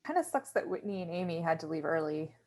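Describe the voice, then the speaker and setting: A woman's voice: low voice, very animated, quick pace, a little mellow. An actress playing a shy, apologetic, self-doubting young woman, hesitant and flustered, in a conversational table read. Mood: sheepish.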